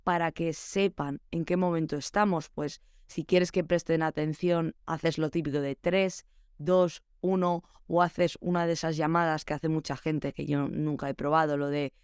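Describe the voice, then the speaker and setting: Young woman chatting on her teacher podcast, smooth clear voice, dry close mic. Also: low-pitched, fairly even intonation, moderate pace, a little mellow, no echo. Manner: chatty, reflective, advice-giving. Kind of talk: solo podcast monologue.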